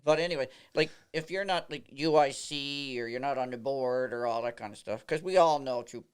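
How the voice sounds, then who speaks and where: A man's voice: medium pitch, a little fast, smooth. A man, an umpire telling stories in a casual podcast chat. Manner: animated storyteller, laughs through anecdotes, many fillers. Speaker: baseball umpire, podcast guest.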